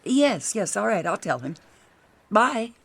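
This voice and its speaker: An actress playing an earnest, easily shaken detective agency secretary with an American accent in a radio drama. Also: female voice, mid-range voice, very animated, takes it slowly, vocal fry, slight background noise, exaggerated voice. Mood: businesslike, earnest.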